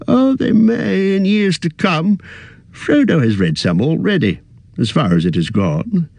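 Fussy old hobbit voiced by a male narrator, high and quavering, husky edge, dry close sound. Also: big pitch swings, a little slow, a little mellow, no echo, heavily acted. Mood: fond. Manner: high quavering, petulant and defensive. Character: fussy elderly male hobbit, turning possessive.

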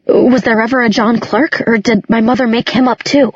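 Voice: mid-range voice, fairly even intonation, normal speed, husky, dry recording, dull audio, voice pushed up, lightly acted. A woman voicing a distraught teenage girl in an audiobook, emotional and incredulous, with bitter questions. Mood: bitter, questioning.

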